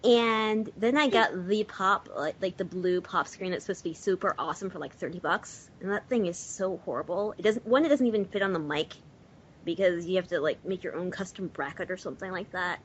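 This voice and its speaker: A woman's voice: fairly low pitch, brisk, slight background noise. A woman chatting casually as a panelist on a podcast, recorded on a dull lo-fi voice chat. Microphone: USB or desktop mic covered with a sock.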